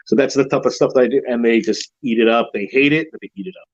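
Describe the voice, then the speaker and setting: A man with a deep voice, a horror-themed wedding officiant, chatting casually and confidently as an interview guest, with frequent restarts. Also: fast talker, no room sound, lo-fi sound. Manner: rambling, self-assured, many restarts.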